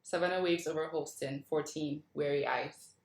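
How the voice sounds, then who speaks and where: Woman, deep voice, reading screenplay directions aloud, table read host, echoey recording. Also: fairly even intonation, normal speed. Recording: roomy, echoey sound.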